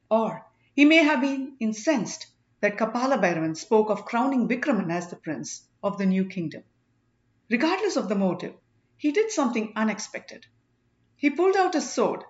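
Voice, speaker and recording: A woman's voice: a little high, echoey room, highs cut off. A woman narrating an audiobook, reading a scripted story.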